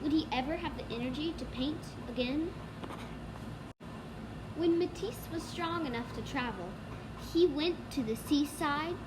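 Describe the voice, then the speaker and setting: A woman's voice: high voice, measured pace, pauses often. A female student reading a children's storybook aloud, with noise behind the voice. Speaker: student reader. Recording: clearly noisy background.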